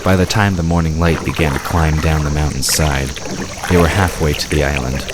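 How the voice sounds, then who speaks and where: A man's voice: a bass voice, fairly even intonation, fairly quick, heavy background noise. A man reading scripted fantasy story narration as the narrator of an audio drama.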